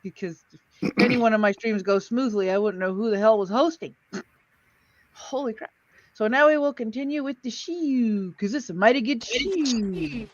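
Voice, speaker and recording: A woman's voice: fairly high pitch, normal speed, highs cut off. A woman hosting a livestream in casual chat, self-deprecating and a bit salty. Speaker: livestream host.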